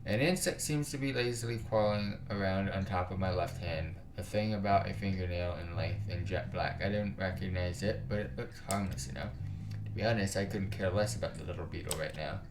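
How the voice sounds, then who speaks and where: A man's voice: deep voice, fairly quick, noisy background. A man reading a visual novel aloud for a gaming video, narrating the story and dropping into casual, slangy, sometimes sweary asides. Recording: roomy echo, music behind. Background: game music.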